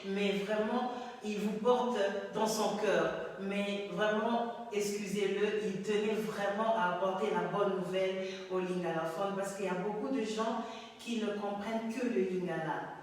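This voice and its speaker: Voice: mid-range voice, fairly even intonation, a little slow. Preacher heard far off in an echoey hall. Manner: repeats words and restarts, many "donc, euh" fillers. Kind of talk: preaching exhortation, then announcing. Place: TV studio set.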